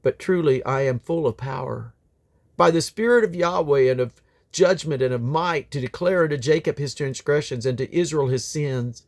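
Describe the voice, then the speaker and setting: A man reading aloud from the Bible as a scripture reader, sometimes halting and correcting himself on difficult words. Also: on the high side, a little mellow. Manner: halting over difficult names, stumbles and self-corrects.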